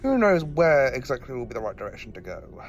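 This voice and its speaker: An actor playing a quirky, knowing professor who travels in time, explaining things wryly and haltingly in an audio drama. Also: male voice, mid-range voice, very animated, a little hiss or hum.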